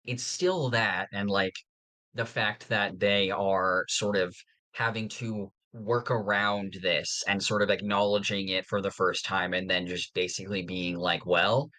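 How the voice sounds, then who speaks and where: A man's voice: low-pitched, fairly even intonation, measured pace, sharp tone, poor audio. Man co-hosting a casual podcast. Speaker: podcast co-host.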